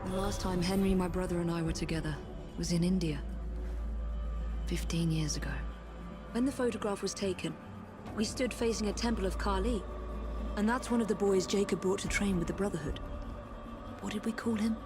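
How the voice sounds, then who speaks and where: Actress as resolute female assassin-detective for a game drama. Mid-pitched, measured, serious, music behind. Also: close to an everyday voice. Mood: pensive. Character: resolute, grave woman assassin investigating murders. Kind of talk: dramatic video game acting.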